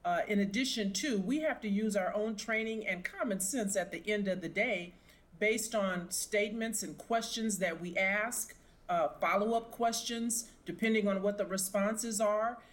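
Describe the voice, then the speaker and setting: A woman's voice: medium pitch, moderate pace. A middle-aged woman, a retired police sergeant, giving emphatic, opinionated expert commentary in an interview.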